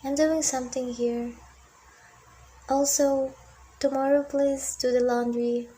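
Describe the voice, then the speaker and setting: A woman voicing a busy, demanding mother in an amateur animated cartoon. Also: sits high, slow pace. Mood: bossy, busy. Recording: muffled, distant, clearly noisy background.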